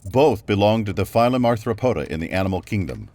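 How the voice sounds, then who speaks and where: An actor serving as the measured narrator of a scripted action radio drama. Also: male voice, on the low side, sing-song, darkish tone.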